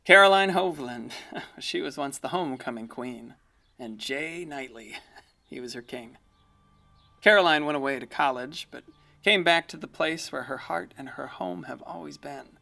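A man's voice: a little high, moderate pace, creaky, sharp tone. A man playing a wry, folksy small-town storyteller, narrating a radio play. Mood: wry, fond. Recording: music behind the voice.

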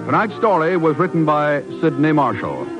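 A man's voice: on the high side, big pitch swings, normal speed. A man reading scripted network announcements in a formal, polished 1950s radio style. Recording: dull lo-fi 1950s radio, music behind. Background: music.

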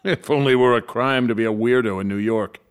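An actor playing a skeptical, pragmatic police detective in a radio drama, asking blunt questions. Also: male voice, mid-range voice, fast, clear, resonant tone, natural voice. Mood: dry.

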